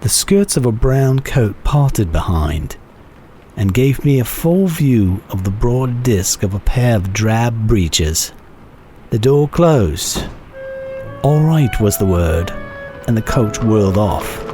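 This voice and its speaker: A man giving a measured, theatrical dramatic reading of a classic short story as its narrator. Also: lowish voice, animated, dark tone, soft background music. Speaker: narrator, reader.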